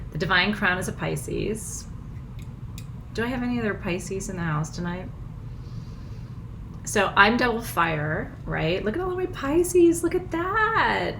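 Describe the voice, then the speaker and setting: A middle-aged woman with a froggy, hoarse voice, hosting a live online ritual from her small house in casual, bubbly chat, laughing often. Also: medium pitch, a bit bright, slight background noise. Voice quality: froggy, husky. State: hoarse, nearly lost voice. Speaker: spiritual teacher hosting a live full moon ritual.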